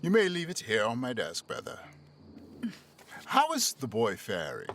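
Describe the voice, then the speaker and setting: A man's voice: medium pitch, wildly swooping pitch, unhurried, fairly bright tone, a little hiss or hum, full character voice. A man playing an imperious, watchful lord of the manor in an audio drama. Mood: commanding.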